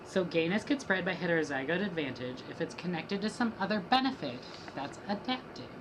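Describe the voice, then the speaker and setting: A young woman with a notably low voice delivers rap verses in a steady, rhythmic reading, swapping lines back and forth with a partner. Clear hiss and background noise sit under the homemade recording. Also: a little fast. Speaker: student reader. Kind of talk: dramatic reading of rap lyrics.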